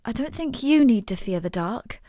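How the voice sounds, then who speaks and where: A dry, close recording of an actress as a young exile woman in a dramatic fantasy game. Her voice sits fairly high, and she speaks with guarded, earnest grief. The delivery is subdued and halting. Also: animated, dark tone, no echo, lightly acted. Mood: quiet, earnest. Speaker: game non-player character. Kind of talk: dramatic video game voice acting.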